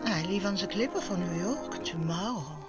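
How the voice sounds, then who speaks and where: A woman's voice: on the low side, expressive, normal speed, over music, lightly acted. An actress playing a proud, glamorous young Frenchwoman in a 1940s-style radio drama, speaking formally and romantically. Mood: wistful, romantic. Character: proud glamorous young French woman, resistance money smuggler.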